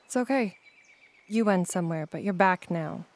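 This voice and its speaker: A woman's voice: lowish voice, expressive, normal speed, slight background noise, lightly acted. An actress playing a practical, reassuring female friend, speaking patiently in an audio play.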